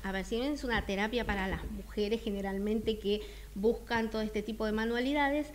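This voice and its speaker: A woman's voice: mid-range voice, moderate pace, slight background noise. A middle-aged woman with a Buenos Aires accent, talking casually as a radio interview guest, hesitant and agreeable. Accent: Buenos Aires Argentine. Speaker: interview guest, art expo organizer. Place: small radio studio. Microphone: close studio microphone with headset.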